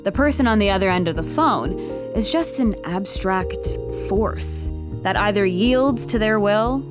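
A woman narrating a scripted audio drama in a measured, wry storytelling manner. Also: mid-range voice, expressive, lightly acted. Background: music.

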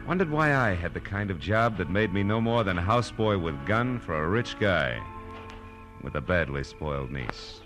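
A man's voice: low-pitched, expressive, moderate pace. An actor playing a tough, world-weary male private detective in an old radio drama, with wry, clipped delivery. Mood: brooding narration. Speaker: lead actor and first-person narrator of a detective radio drama. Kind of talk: hard-boiled 1940s-50s radio acting, scripted narration. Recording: vintage radio recording with music.

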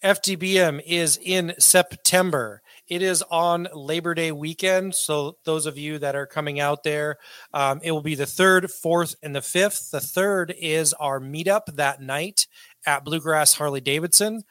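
A man's voice: fairly high pitch, measured pace, fairly bright tone, no room sound. A man hosting a casual podcast, loose and joking with crude humor. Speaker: podcast host.

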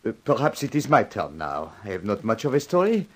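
A man's voice: fairly low pitch, big pitch swings, a little fast. An actor playing a suave but nervous Frenchman in a radio crime drama, speaking with a French accent in careful, hesitant phrases. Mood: wistful, measured. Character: suave, nervous French lover, pleading his innocence.

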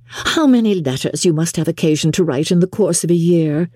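A woman's voice: on the low side, brisk, warm tone, slightly rough, no room sound, studio quality. A woman voicing a fawning, affectedly sweet young society lady in an audiobook, cooing and gushing. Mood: gushing flattery. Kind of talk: audiobook character acting.

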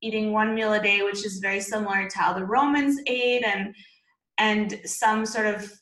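A woman from Canada, a guest on a video call, talking in a chatty, energetic way with long running explanations. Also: mid-range voice, normal speed. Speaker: podcast guest, keto blogger and biochemistry student. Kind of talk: casual interview answers. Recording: roomy echo.

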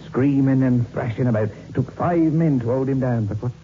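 An actor playing a grave local police sergeant who tells ghost tales in a folksy, ominous manner, in 1960s radio drama style. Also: male voice, mid-range voice, moderate pace, close to an everyday voice. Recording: muffled, hissy old radio recording.

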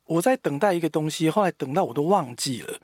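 A man's voice: fairly high pitch, lively intonation, quick pace, a little mellow, slightly rough, no echo. A middle-aged man, a singer, talking casually and thoughtfully about his music on a podcast. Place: small studio. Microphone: studio microphone.

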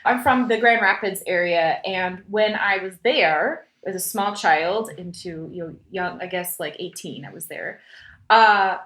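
A woman from Michigan talks as a podcast guest, with a Northern US accent that carries a slight Southern twang. Her voice is bright and forward, and she speaks in an animated, self-deprecating way. The room around her adds a clear echo. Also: fairly low pitch, unhurried. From Michigan, USA. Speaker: guest, sex therapist. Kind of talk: casual podcast interview.

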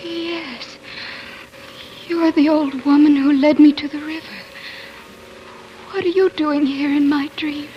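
An actress playing an earnest, devoted young maiden in a 1940s radio fairy-tale drama. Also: female voice, sits high, fairly even intonation, slow, pauses often, a little hiss or hum. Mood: surprised. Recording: dull vintage radio, music behind.

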